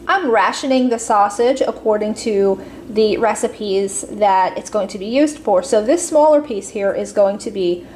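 A woman hosting a budget cooking video, calmly explaining each step from her home kitchen with a few casual fillers. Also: medium pitch, measured pace, a little hiss or hum. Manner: friendly step-by-step instructions with fillers. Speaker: cooking channel host.

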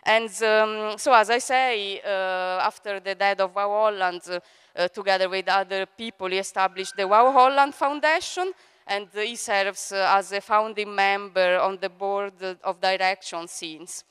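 A woman's voice: mid-range voice, unhurried, clear, resonant tone. A woman in her forties with an Italian accent, hosting a conference and introducing speakers on stage with many fillers, into a handheld mic. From Italy. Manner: hesitant, many fillers, warm thanks. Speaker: event director and host. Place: large event hall on stage. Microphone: handheld microphone. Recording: long hall reverb.

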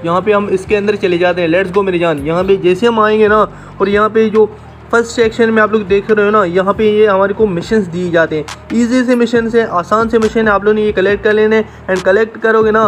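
High-pitched man, gaming voice-over. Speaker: gaming channel host. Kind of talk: casual explainer over mobile game screen recording.

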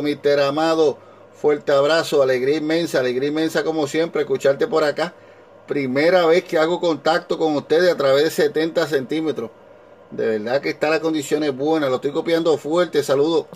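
A man's voice: fairly high pitch, fairly even intonation, moderate pace, darkish tone, slight background noise. A man with a Puerto Rican accent, talking cordially in a casual ham radio exchange and repeating his phrases. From Puerto Rico. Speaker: amateur radio operator.

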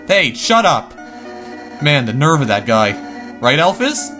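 A man's voice: a little high, very animated, a little slow, over music. A man doing a brash fish warrior woman. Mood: fierce. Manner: tough, loud, bossy.

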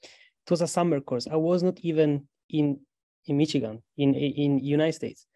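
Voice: mid-range voice, fairly even intonation, normal speed, warm tone, no room sound, studio quality. A graduate student from Italy with an Italian accent, earnestly telling a story on an advice panel. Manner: earnest, hesitant fillers.

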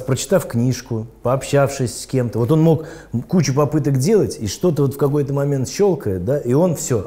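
A man with a dark, relaxed voice answers as an expert guest. He explains in a halting way, often abandoning a phrase and starting it again. His pitch sits in an ordinary male range. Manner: halting, often breaks off and rephrases. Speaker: medical expert guest on a health show. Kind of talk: explanatory interview talk.

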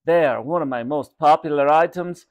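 A man voicing an effusive, excitable male shopkeeper in a children's storybook. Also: a little high, normal speed, highs cut off, close to an everyday voice. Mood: excitable, emphatic. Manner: effusive, exclaiming.

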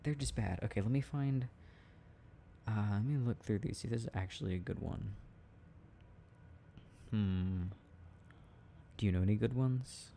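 A young man doing an intimate, casual boyfriend roleplay, playful and affectionate, talking in a halting, giggly way. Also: lowish voice, vocal fry, dark tone, slight background noise. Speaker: boyfriend roleplay audio performer.

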